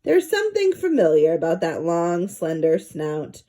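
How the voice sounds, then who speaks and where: A woman reading a children's story aloud in an expressive narrating style. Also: fairly low pitch, normal speed. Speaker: storybook reader.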